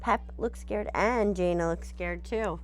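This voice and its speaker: A woman's voice: fairly low pitch, a little slow, a little hiss or hum. A woman reading a picture book aloud expressively, with explanatory asides, checking in with her listeners as she goes.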